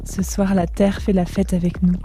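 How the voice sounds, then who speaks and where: Woman playing an entranced earth-loving dreamer, very mellow dark voice, fairly even, faint music behind. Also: medium pitch, normal speed, natural voice. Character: entranced, sensual dancer communing with the earth. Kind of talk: poetic French fiction podcast acting.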